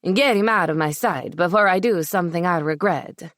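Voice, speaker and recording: Woman voicing an older Italian-accented patriarch, stern, measured, commanding. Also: lowish voice, fairly bright tone, no room sound, clean studio sound, lightly acted. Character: older Italian man, stern crime family head.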